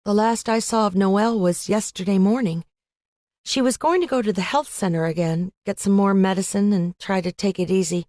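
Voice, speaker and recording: An anxious young college girl, pleading and raised in pitch over her normally low voice, as a woman narrator puts her on. Close, dry audiobook sound. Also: steady tone, normal speed, warm tone, dry recording, natural voice. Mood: worried. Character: worried young female college roommate.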